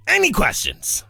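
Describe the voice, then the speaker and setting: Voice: bright, forceful tone, no room sound. An actor voicing a flamboyant, cocky demon guide from hell in a visual novel, with a showy, self-satisfied delivery. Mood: excited. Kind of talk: theatrical visual novel character acting.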